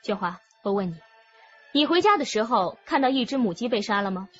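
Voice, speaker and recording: A woman's voice: a little high, lively intonation, a bit bright, highs cut off, faint music behind. An actress playing a composed, methodical policewoman with an authoritative delivery in a radio drama. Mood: probing. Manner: measured, authoritative. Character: composed, methodical female police officer presenting evidence.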